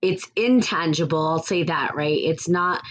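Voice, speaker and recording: A woman with a raspy voice hosting a podcast, talking casually in a rambling, reflective way. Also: low-pitched, unhurried, a little hiss or hum. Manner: rambling and reflective, with frequent restarts. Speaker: podcast host. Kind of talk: casual spiritual podcast talk.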